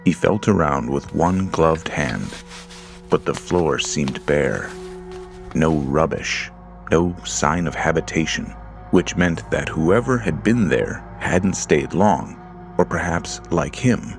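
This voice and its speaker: A man narrating a horror story in a slow, measured, hushed storytelling manner. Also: a bass voice, lively intonation, creaky. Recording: music bed under voice.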